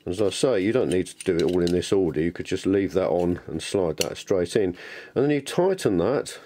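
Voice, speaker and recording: Low-voiced man, enthusiastic DIY demonstrator. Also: animated, moderate pace, warm tone, slight background noise. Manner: enthusiastic, chatty. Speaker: DIY tutorial presenter. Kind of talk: informal hands-on demonstration, thinking aloud.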